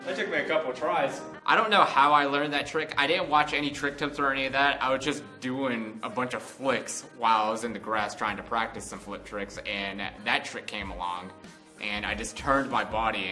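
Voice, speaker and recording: A young American man with a fairly low but very bright, forward voice chats his way through a personal story. He rambles conversationally without a script, with music playing faintly behind him. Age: 20s. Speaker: vlog host. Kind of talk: casual unscripted storytelling.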